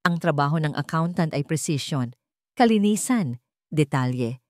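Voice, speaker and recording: A woman's voice: low-pitched, lively intonation, moderate pace, dry recording, clean studio sound. A woman voicing a haughty, cruel middle-aged male HR director in a story, mocking and condescending, with a Filipino accent. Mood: cold contempt.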